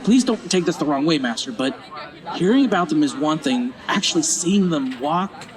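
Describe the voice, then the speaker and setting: Young male apprentice, acted by a man: fairly high voice, earnest, tentative, full of wonder, fantasy audio drama. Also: expressive, moderate pace, some chatter behind, close to an everyday voice. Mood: awed.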